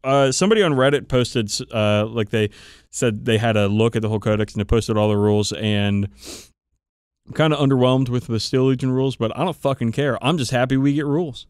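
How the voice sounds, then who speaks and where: A man's voice: medium pitch, brisk, clear, resonant tone, no echo, clean studio sound. A man co-hosting a podcast, chatting casually and swearing freely as he tells stories. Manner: profane, animated storytelling about tabletop games. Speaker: podcast co-host. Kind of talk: casual chat between friends.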